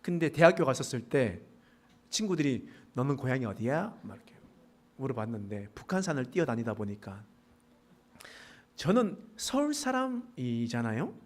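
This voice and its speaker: A middle-aged man from the Pohang area, a pastor preaching a sermon with traces of a Gyeongsang dialect, folksy and joking with the congregation. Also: normal speed. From South Korea, Pohang area. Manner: folksy, joking asides with congregation, animated pitch swings. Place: church sanctuary.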